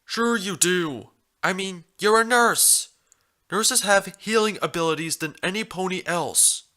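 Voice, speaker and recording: A clueless stallion from a pony story, put on by a man, stubborn and puzzled. He pesters with insistent questions in a raised, sharp, bright voice. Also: high-pitched, unhurried, pitched above the performer's own voice.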